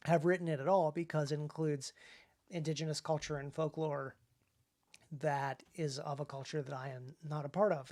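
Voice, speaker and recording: An American man hosting a casual discussion as an author, thinking aloud in a halting way with emphatic stresses. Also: on the high side, a little mellow. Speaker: podcast host and author.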